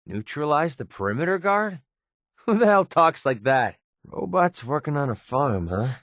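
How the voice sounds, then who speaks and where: Young american merc from a video game, sardonic n slangy, cynical but soft underneath, super dry close sound. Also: male voice, a little high, big pitch swings, normal speed, no room sound. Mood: sardonic, tough. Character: wisecracking, cynical young male mercenary with a soft side. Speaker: video game companion character.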